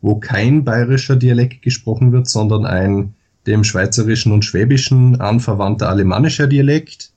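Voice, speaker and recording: A low-voiced Austrian man from the far west of the country speaks as a podcast guest. He explains things at length in careful standard German and pauses often with filler sounds. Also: darkish tone, highs cut off. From Vorarlberg, western Austria. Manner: measured, explanatory, with many hesitations. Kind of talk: podcast interview.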